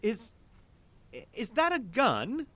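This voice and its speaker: Anxious male neighbor, high voice, slow, timid, hesitant, audio drama. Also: wildly swooping pitch, a bit bright, husky, no room sound, full character voice. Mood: nervous. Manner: nervous, hesitant.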